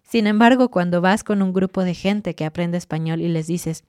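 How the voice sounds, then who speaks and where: A woman hosting a podcast for Spanish learners, giving clear, encouraging advice with lively asides. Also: lowish voice, moderate pace, dry recording, studio quality. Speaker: Spanish teacher and podcast host.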